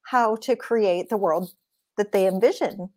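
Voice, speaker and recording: A woman hosts a podcast conversation over a video call, her voice sitting at a plain middle pitch. She is chatty and upbeat, affirming her guest and speaking directly to listeners. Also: measured pace, a little mellow. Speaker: podcast host. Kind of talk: casual interview chat.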